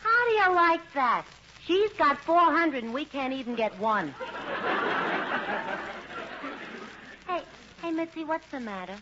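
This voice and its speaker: Brassy wisecracking roommate, high and animated, slangy, vintage radio comedy, dull noisy recording. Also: female voice, moderate pace. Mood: wry, sympathetic. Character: brassy, scheming, loyal working-class young female roommate. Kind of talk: 1940s-50s radio comedy acting.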